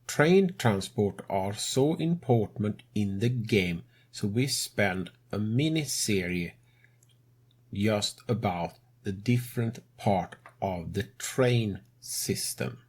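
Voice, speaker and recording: A non-native-English man narrates a computer game tutorial he hosts. His voice sits a little low and breathy, and he takes his time over each phrase. The grammar slips now and then, but the delivery stays calm and measured. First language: not English. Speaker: tutorial host.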